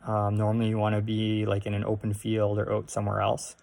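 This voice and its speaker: Man giving a halting, casual how-to. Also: fairly low pitch, completely flat delivery, vocal fry, a little mellow. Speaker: host of a DIY radio tutorial.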